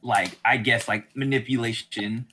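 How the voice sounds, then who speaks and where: A man speaks as the host of a relaxed discussion, at a middling pitch. He rambles informally in a conversational style. The recording has some faint noise and small clicks. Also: a little slow. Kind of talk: casual chat about a TV series.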